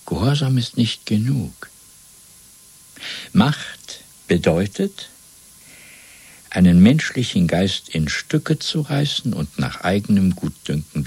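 An actor playing a coldly domineering party interrogator in a German radio play, lecturing in a measured, zealous way with a gravelly, husky voice. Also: male voice, sits low, sing-song, exaggerated voice. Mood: menacing, exalted. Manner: measured, lecturing, swelling from hushed to fervent.

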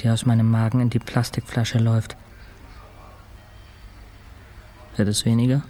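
An actor playing a weakened, introspective hospital patient in a radio play, speaking in measured, terse fragments. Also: male voice, medium pitch, dark tone, lightly acted. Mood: brooding, raw. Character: weakened male hospital patient, introspective, haunted, recounting his ordeal. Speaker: actor narrating a radio play in first person. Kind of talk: literary radio drama monologue.

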